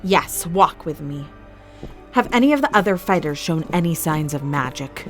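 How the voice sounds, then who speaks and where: A low-pitched, commanding female arena master played by a woman in an audio drama. Her delivery is formal and clipped, with a cold, suspicious and ruthless edge. Soft music runs underneath. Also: animated, moderate pace.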